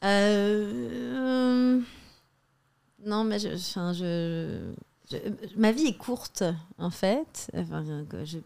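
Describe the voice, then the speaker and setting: A woman's voice: medium pitch, animated, very slow, creaky, darkish tone. Insistent young woman in a debate. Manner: insistent, many restarts and fillers. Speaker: guest comedian. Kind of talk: heated debate.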